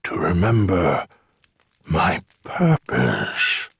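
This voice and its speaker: A gravelly, deep-voiced, unhurried island hermit. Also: male voice, sing-song, a bit bright, dry recording, studio quality, full character voice. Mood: musing. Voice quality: gravelly, husky. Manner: courteous, wistful, unhurried. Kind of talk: video game cutscene acting.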